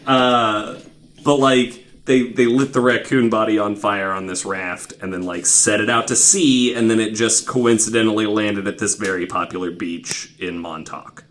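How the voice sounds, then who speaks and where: Low-pitched man, casual podcast storyteller. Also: steady tone, moderate pace, a bit bright, a little hiss or hum. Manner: informal, profane, wryly skeptical. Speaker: podcast host.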